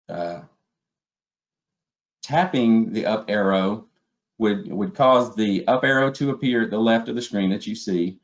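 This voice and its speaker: Guy with a lowish voice walking thru product training step by step, kinda muffled lo-fi webinar sound. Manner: methodical, step-by-step explaining. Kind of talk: instructional slide presentation narration. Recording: dull, lo-fi webinar audio.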